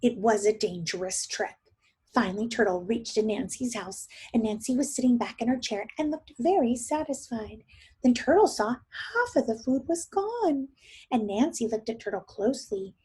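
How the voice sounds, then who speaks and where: A woman teacher reading a story aloud to young children, expressive and often thinking aloud with questions for her listeners. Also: on the high side, normal speed, slightly rough.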